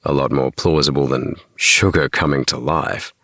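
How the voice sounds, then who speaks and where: Mock-stern but mild father in a kids' audiobook, voiced by a male narrator, extremely deep, dry and close. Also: fairly even intonation, normal speed, pushed and bright, no room sound. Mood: reasonable. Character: mild, reasonable, slightly cowardly father.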